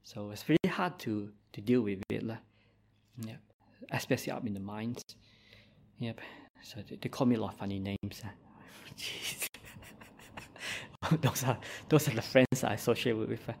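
A man with a Malaysian accent, a Buddhist monk giving an informal dharma talk in a gentle, halting way, with many "uh"s and "lah"s. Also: fairly low pitch, vocal fry, a little hiss or hum.

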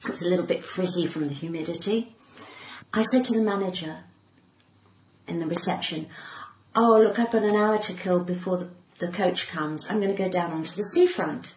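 A woman's voice: lowish voice, normal speed. An older English woman with a cut-glass accent chats as an interview guest. She tells stories in a teasing, theatrical way. The room is echoey, with noise behind her. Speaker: comedian and actor, interview guest. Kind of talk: casual anecdotal chat.

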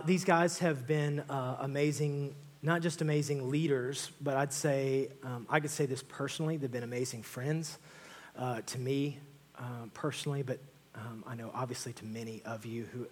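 A man's voice: medium pitch, a little hiss or hum. A man, a pastor giving casual, upbeat church announcements to his congregation. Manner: casual and upbeat, with frequent fillers. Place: church sanctuary.

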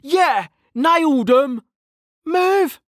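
A narrator voicing a tough, angry female watch officer, brusque and forceful, pitched well up, in a fantasy audiobook. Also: normal speed, no echo, studio quality, natural voice. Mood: angry, urgent.

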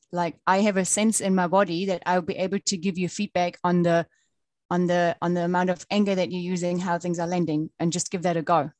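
A woman in a group session offering a suggestion in a measured way. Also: lowish voice, darkish tone, dry recording. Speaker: workshop participant.

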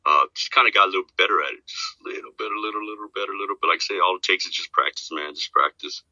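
Deep-voiced man rambling casually. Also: very fast, bright, forceful tone, no echo, lo-fi sound. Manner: rambling, repeats himself, filler-heavy. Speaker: podcast guest, rapper. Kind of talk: casual chat.

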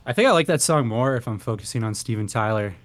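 A man's voice: medium pitch, expressive. A man co-hosting a music podcast, easygoing and agreeable in casual chat. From Canada. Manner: easygoing, agreeable, quick reactions. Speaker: podcast co-host.

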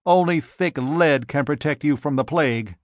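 A man's voice: a little high, moderate pace, no room sound, pitched above the performer's own voice, close to an everyday voice. A man voicing a cold, curt male scientist who speaks in clipped lines.

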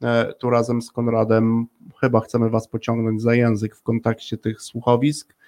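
Male interview host, mid-pitched voice. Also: monotone, normal speed, darkish tone. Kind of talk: casual radio-style interview hosting.

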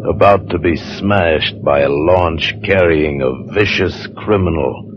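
Deep slow guy, ominous old radio teaser, muffled w music under. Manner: ominous, deliberate. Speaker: radio show announcer. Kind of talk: dramatic vintage radio teaser narration.

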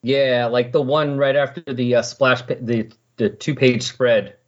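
A middle-aged man with a slightly husky voice hosting a casual comic book discussion in a chatty, explanatory way. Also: mid-range voice, fairly even intonation, unhurried. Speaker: podcast host. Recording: dull lo-fi voice recording.

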